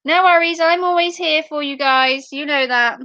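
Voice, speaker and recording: A woman livestreamer chatting playfully with her viewers, her voice going a little hoarse after hours of talking. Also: high-pitched, normal speed, dry recording, dull audio. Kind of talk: casual chat with viewers.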